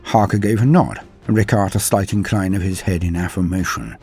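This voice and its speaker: Male narrator for a military saga: low, mellow voice, grave measured delivery, faint music bed. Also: steady tone, breathy edge. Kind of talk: dramatic scripted narration.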